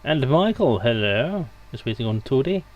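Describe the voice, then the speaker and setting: A man's voice: medium pitch, very animated, normal speed, low-quality recording. A man hosting a live stream in casual, unscripted, rambling chat.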